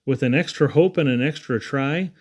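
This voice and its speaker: A man reading children's poetry aloud in an expressive, theatrical storytelling style with dramatic emphasis. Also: mid-range voice, normal speed. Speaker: reader.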